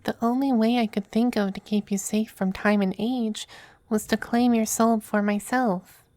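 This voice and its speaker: A woman playing a tender, possessive male demon femboy in an intimate ASMR roleplay, soft and breathy, often whispering, with a hesitant, coaxing manner. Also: on the high side, fairly even intonation, no room sound, close to an everyday voice. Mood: wistful, devoted. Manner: slow, hesitant, gently coaxing.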